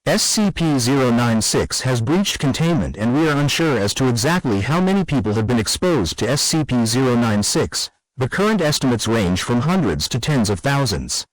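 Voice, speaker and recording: A man's voice: medium pitch, big pitch swings, moderate pace, hardly any pauses, no room sound, lightly acted, low-quality recording. A man playing a grave official who reads a formal scripted emergency message to staff. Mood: grave, urgent. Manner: serious, official. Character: grave male official addressing secret foundation staff.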